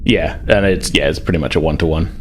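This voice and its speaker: A man's voice: a bass voice, fast talker, slight background noise. A man narrating a tabletop game as game master, improvising and searching for words.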